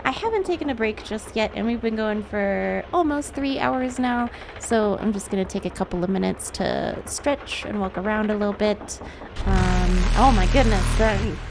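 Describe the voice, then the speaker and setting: A woman's voice: mid-range voice, measured pace, vocal fry, noisy background. A giggly, chatty woman chatting casually and reacting as she streams a video game. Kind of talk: casual livestream chat and gameplay reactions. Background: game music.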